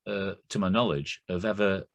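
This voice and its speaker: Brief: middle-aged Lancashire man, guest poet, anecdotal, lowish voice, dry close sound. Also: normal speed, creaky, no room sound. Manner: chatty, anecdotal. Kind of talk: casual conversation.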